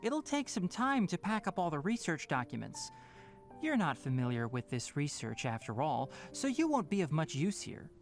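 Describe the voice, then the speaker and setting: Composed, precise young scholar-ranger. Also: male voice, a little high. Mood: firm, brisk. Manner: precise, explanatory, firm. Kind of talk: video game character acting. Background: faint game music.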